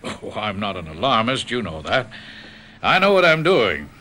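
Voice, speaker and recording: A trusted American family doctor in a radio mystery. His low voice has a bright, firm edge and animated inflection, and he speaks frankly and deliberately, like a professional reassuring an old friend. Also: a little hiss or hum. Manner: deliberate, professional, plain-spoken. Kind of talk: radio drama acting.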